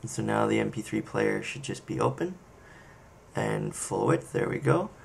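A man with a low voice full of heavy vocal fry talks through a screen tutorial. He thinks aloud in a casual, hesitant way, pausing as he goes. Faint noise sits behind him. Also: lively intonation. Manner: unscripted, hesitant, pauses while clicking. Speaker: software tutorial presenter. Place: indoor screen-recording voice-over.

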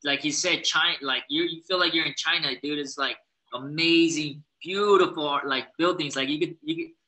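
Bright-voiced chatty young man. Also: a little high, normal speed, low-quality recording. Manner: relaxed, chatty, frequent "like" and "you know". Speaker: guest, professional skateboarder. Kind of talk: casual interview answer. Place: indoor living room. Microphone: laptop webcam on a video call.

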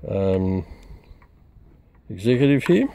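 An older Australian man casually demonstrating radios outdoors in a garden, relaxed and rambling with many fillers. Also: fairly low pitch, slow pace, vocal fry. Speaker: radio hobbyist presenting receiver comparison. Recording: noisy outdoor recording.

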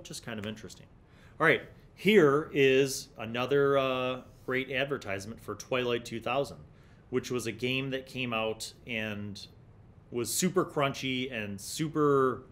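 A man's voice: medium pitch, fairly bright tone. A man in his forties hosting a gaming review, explaining casually and reading passages aloud into a desktop microphone. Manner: conversational, explanatory. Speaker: host of a tabletop gaming review series. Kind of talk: casual commentary with passages read aloud from a magazine. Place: small indoor home studio. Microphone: large desktop condenser microphone.

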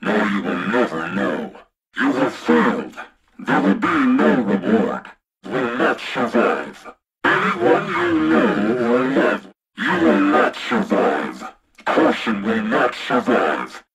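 An actor playing a menacing, taunting spy-ring boss in a video game, with a husky, gravelly voice. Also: male voice, lowish voice, big pitch swings, slow pace, a crowd in the background, exaggerated voice, low-quality recording. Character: sinister male spy-ring boss giving threatening orders. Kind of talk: scripted video game voice acting.

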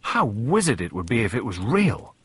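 A man's voice: on the low side, sing-song, moderate pace, fairly bright tone, husky, heavily acted. A man voicing an eager, slangy, exclamatory schoolboy in an audiobook. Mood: excited. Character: eager, slangy English schoolboys at Christmas dinner. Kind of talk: audiobook character voice.

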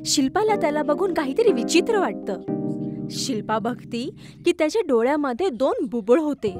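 A woman narrates a scripted horror tale. Her voice sits fairly high, and music plays clearly behind her. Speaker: story narrator.

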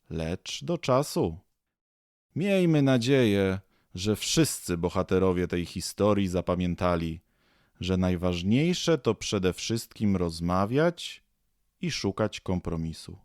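A male narrator tells a scripted story for a radio play. His voice is low, and the recording is close and dry with no room sound. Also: darkish tone, dry recording.

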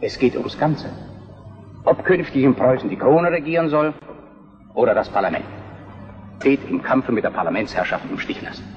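A man's voice: mid-range voice, normal speed, pauses often, faint music behind, lightly acted. An actor as a resolute, courtly Prussian statesman. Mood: urgent, courteous. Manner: formal, deferential, firm. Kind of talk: historical German radio drama acting. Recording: dull reverberant radio play recording.

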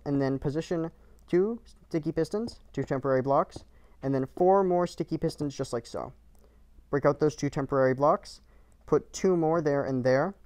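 A man's voice: on the high side, no echo. A man narrating a game build tutorial in short, clipped, matter-of-fact instructions, recorded as a voice-over.

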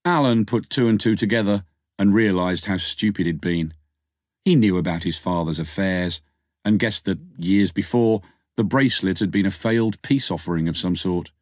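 Low-voiced man narrating, close and dry. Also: normal speed, darkish tone, dry recording. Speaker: audiobook narrator. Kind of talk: scripted narration of a crime short story.

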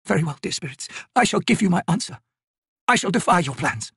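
A woman's voice: lowish voice, big pitch swings, fairly quick, husky, dry recording, clean studio sound, voice pushed up, exaggerated voice. A ragged old wisewoman. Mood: resigned. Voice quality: cracked, ragged.

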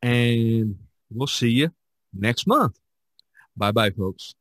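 A man hosting a podcast in casual, halting talk, spelling things out as he goes. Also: mid-range voice, animated, a bit bright. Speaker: podcast host. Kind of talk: casual chat and sign-off.

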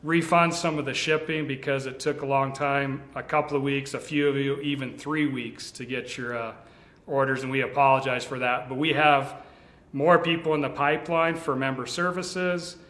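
A middle-aged man, a company vice president, speaks earnestly and reassuringly to sales leaders, with frequent restarts, standing in a bright office. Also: mid-range voice, fairly even intonation, moderate pace. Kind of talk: video address to sales leaders. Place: large bright office with tall windows, indoors. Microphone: standing before a fixed camera, two-shot. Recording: echoey room.

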